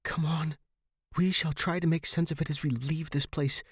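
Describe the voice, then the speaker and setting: Level-headed young heroine's friend, higher-pitched, earnest and decisive, audio horror fiction played by a man. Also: fairly even intonation, moderate pace, breathy edge. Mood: urgent, decisive. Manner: earnest, instructing.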